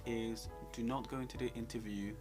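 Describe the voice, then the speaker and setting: A man's voice: mid-range voice, steady tone, a little fast, music in the background. A man co-hosting a career advice talk, coaching conversationally and emphatically, repeating points to drive them home. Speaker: co-host giving job interview advice.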